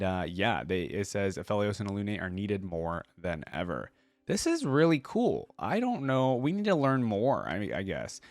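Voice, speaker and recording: A man with a fairly low voice hosts a reaction video. He moves between reading story text aloud and casual, off-the-cuff commentary. The sound is dry and close, with little room echo.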